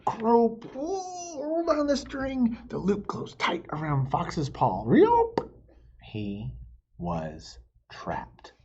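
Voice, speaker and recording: A man reads a storybook aloud to children, pausing to ask them questions. His delivery is slow with a wildly swinging pitch. His voice is husky, and the recording sounds dull and lo-fi. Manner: animated, asks children questions. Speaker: teacher reading to children.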